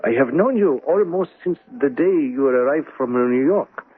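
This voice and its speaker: An actor playing an elderly, courteous, philosophical gentleman in a radio drama, speaking formally and deliberately. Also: mid-range voice, animated, breathy edge, narrow, tinny audio. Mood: grave, thoughtful. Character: elderly, philosophical Chinese gentleman, dry and courteous club member. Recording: old radio broadcast sound.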